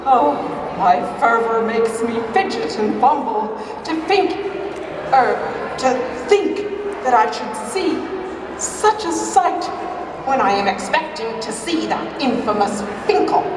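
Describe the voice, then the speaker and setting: A woman's voice: on the high side, expressive, slow pace, over music. Woman playing a pompous Mountie sergeant, echoey hall. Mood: lovestruck. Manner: grandiose alliterative declaiming. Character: bumbling, earnest male Mountie sergeant, pompous and lovesick. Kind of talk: live melodrama reader's theater. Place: live amateur stage performance at fair. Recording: echoey hall from far off, noisy.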